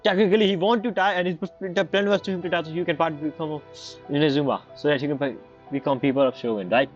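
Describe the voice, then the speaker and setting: A man giving casual, halting let's-play commentary in non-native English phrasing. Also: fairly high pitch. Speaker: game streamer. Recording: muffled, game music behind.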